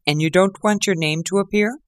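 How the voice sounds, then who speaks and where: A man voicing a gruff, excitable male police inspector in an audiobook reading, loud and blustering. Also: fairly quick, dry recording, studio quality, close to an everyday voice. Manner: loud, blustering, high-pitched.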